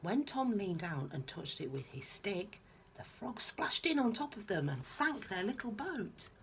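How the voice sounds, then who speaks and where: A woman reading a children's picture book aloud in a soft-spoken, musing way, with informal, chatty asides. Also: medium pitch, sing-song, a little slow, slight background noise.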